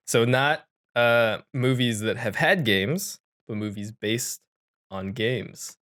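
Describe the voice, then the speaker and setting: A man hosting a podcast in casual, unscripted chat, with a jokey, halting manner that often interrupts itself. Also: mid-range voice, fairly bright tone. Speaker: podcast host.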